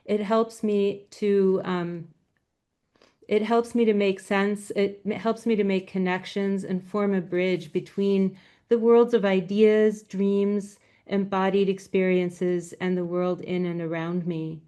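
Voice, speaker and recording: A woman giving a talk as a guest speaker over a video call, speaking with a quiet, soft-spoken voice. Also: mid-range voice, flat delivery, slow pace, smooth. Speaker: guest speaker, graphic novelist.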